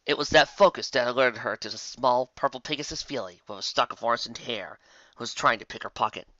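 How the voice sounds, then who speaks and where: Story narrator, medium pitch, bright tense tone, dramatic scripted reading, occasional stumbles, dry slightly muffled home recording. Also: fairly quick, dry recording. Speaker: fan fiction narrator.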